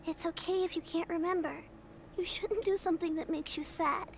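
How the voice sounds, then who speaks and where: A woman's voice: extremely high pitch, fairly even intonation, brisk, slight background noise. A woman voicing a caring, anxious young child in a video game. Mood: worried, caring.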